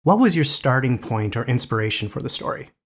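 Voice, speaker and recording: A man's voice: mid-range voice, big pitch swings, a little fast. A man hosting a literary podcast, reading scripted introductions and putting questions to a guest. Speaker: podcast host and editor.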